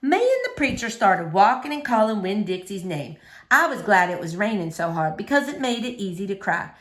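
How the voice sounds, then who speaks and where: A woman reading a children's novel aloud as expressive story narration. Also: mid-range voice, moderate pace. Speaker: storybook reader.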